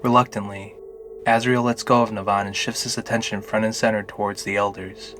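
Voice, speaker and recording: Male narrator for a scripted audio drama, lower-pitched, reading prose with a prominent music bed underneath. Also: level tone, brisk.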